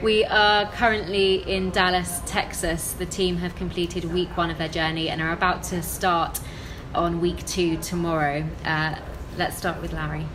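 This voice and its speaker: A woman hosting a podcast, reading a measured introduction. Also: fairly low pitch, flat delivery. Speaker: podcast host. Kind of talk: scripted introduction. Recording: noisy room with people around.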